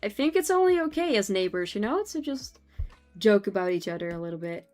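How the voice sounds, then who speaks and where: A young Dutch woman chats with her live stream audience, her English colored by a Dutch accent. She talks loosely, giggling and trailing off mid-thought. Soft music plays faintly behind her. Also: medium pitch, big pitch swings, brisk. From Netherlands. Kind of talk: casual chat with chat while drawing.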